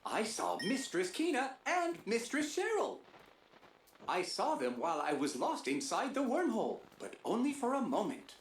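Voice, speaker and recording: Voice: mid-range voice, huge pitch swings, moderate pace. An actor voicing a polite, formal, slightly fretful robot comrade in a video game, with precise, wordy phrasing. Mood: earnest, worried. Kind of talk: scripted video game voice acting. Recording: roomy echo, faint background noise.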